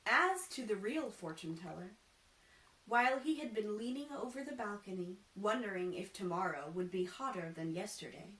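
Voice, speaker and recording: A woman reading a children's storybook aloud in an expressive, deliberate, theatrical way. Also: medium pitch. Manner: animated, deliberate, acts out dialogue. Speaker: costumed storytime reader. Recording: echoey room, distant mic, faint noise.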